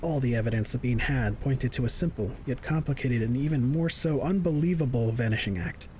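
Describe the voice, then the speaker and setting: A man's voice: medium pitch, a little fast, a little mellow, slight background noise. A man reading scripted narration for an audio drama.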